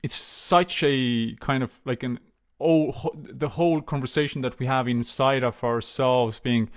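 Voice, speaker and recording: A man in casual conversation, telling stories in a hesitant, relaxed way, with many fillers and restarts. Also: mid-range voice, measured pace. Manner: storytelling, relaxed.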